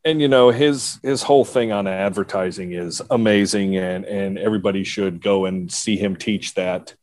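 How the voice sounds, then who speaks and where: A man's voice: low voice, moderate pace, a little mellow, no room sound. A man hosting a casual interview chat, asking long rambling questions. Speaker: podcast host.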